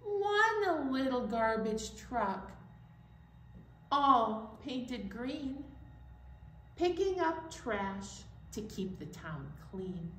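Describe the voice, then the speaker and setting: A woman's voice: a little high, big pitch swings, very slow, a bit bright. An older woman leading a singsong children's storytime activity as a library presenter. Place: indoor library room. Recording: roomy echo, distant mic, faint noise.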